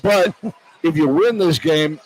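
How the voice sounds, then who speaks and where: A man's voice: fairly high pitch, slow, a crowd in the background, low-quality recording. A man working as a radio play-by-play sportscaster, giving basketball broadcast commentary from courtside in an arena.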